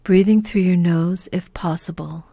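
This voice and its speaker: A woman guides a meditation at a very slow, unhurried pace. Her voice is mellow, soft and breathy, often close to a whisper. She sounds calm and gentle, recorded close and dry. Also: lowish voice, no echo. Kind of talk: guided meditation, scripted narration.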